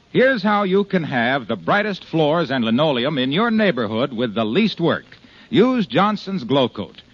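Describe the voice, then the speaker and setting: A man announcing in a polished, emphatic 1950s radio commercial style. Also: on the high side. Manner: polished, emphatic sales delivery. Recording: muffled old radio recording.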